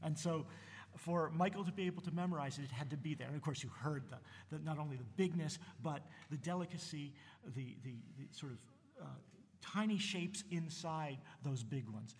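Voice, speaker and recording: A man from New Jersey introducing pieces at a concert, talking haltingly with many fillers and restarts, and enthusiastic about the music. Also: on the high side, slightly rough. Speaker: concert host. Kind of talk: casual spoken introduction between concert pieces. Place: concert hall with audience. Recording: long hall reverb.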